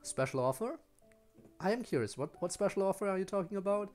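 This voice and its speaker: A man's voice: sits high, expressive, fast talker, darkish tone. A man giving casual livestream commentary as a game streamer, explaining things in a hesitant, rambling way. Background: faint music.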